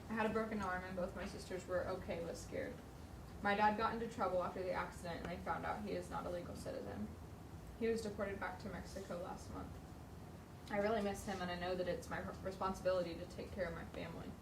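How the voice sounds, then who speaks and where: An echoey, distant recording with clear noise. A woman reads a written character profile aloud to a group in a medium-pitched voice. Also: fairly even intonation, fast talker. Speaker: training participant reading aloud. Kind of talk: reading a first-person character profile aloud.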